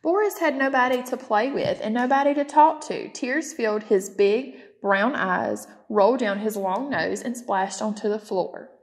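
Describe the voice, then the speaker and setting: A woman's voice: on the high side, moderate pace, few pauses. A woman reading a children's picture book aloud as a storytime narrator. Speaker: storytime reader.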